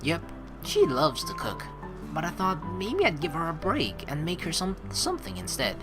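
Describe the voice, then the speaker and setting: A woman's voice: lowish voice, huge pitch swings, normal speed. A young woman reading a game aloud as a streamer, adding chatty, casual asides as she goes. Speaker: let's play streamer. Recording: faint game music behind.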